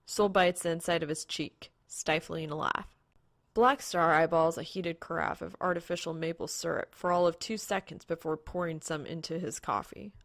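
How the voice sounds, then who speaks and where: A woman's voice: sits low, fairly even intonation, normal speed, no echo, clean studio sound. A woman reading fan fiction aloud as a scripted story narrator. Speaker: podfic narrator.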